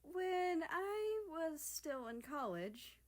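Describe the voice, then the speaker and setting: A woman's voice: very high-pitched, very animated, very slow, pitched above the performer's own voice, heavily acted. A woman voicing a shy, lovestruck young female character, hesitant and earnest, in a story reading.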